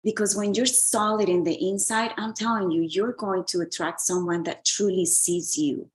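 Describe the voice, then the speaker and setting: A woman's voice: mid-range voice, steady tone, moderate pace. A woman from Colombia, a relationship coach and author, speaking earnestly as an interview guest, often repeating words as she searches for them.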